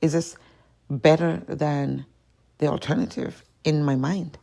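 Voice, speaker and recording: Gravelly, husky woman musing aloud. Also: sits low, steady tone, measured pace, warm tone. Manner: rambling and self-questioning, trailing off into whispers. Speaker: podcast host. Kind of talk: solo confessional chat.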